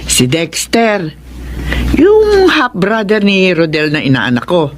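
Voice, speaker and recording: A woman's voice: on the low side, very animated, slow pace. Middle-aged Filipino mother for radio drama: chatty, motherly, swooping delivery, faint background noise. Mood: confiding. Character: warm middle-aged mother welcoming family home.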